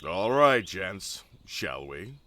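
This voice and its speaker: A man's voice: deep voice, sing-song, extremely slow, very noisy, heavily acted. A man playing a wry, confident, jokey ghostbuster who rallies his team in an audio drama. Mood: wry, calm. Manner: jokey, folksy.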